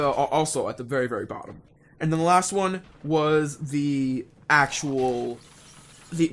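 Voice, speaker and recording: A young man telling a casual, unscripted story in a rambling, halting way, often rephrasing himself as he goes. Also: a little high, fairly bright tone. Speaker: online video storyteller.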